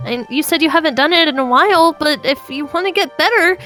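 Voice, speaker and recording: A woman's voice: sits high, fairly quick, sharp tone, faint music behind. A woman playing a supportive, curious guild member in a game roleplay, speaking in a casual, encouraging way. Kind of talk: improvised video game roleplay.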